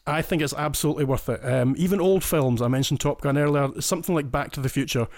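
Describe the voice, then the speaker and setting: A man's voice: a little high, fast, a little mellow. A Scottish man in his forties, a podcast guest, explaining things with enthusiasm. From Scotland. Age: 40s. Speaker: listener guest. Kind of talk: casual interview.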